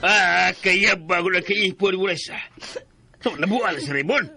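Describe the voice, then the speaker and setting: A man's voice: high-pitched, unhurried, creaky, music in the background. A man acting an outraged, commanding middle-aged father in a Filipino radio drama, stern in manner. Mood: indignant.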